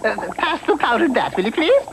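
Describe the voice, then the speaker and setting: A woman's voice: fairly high pitch, sing-song, moderate pace, a little hiss or hum. A woman voicing a forgetful, folksy little old witch in a comic cartoon, with a cackling, chatty delivery. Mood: scatterbrained, chatty. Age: older. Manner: folksy, scatterbrained, calls people honey. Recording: old TV cartoon soundtrack with music.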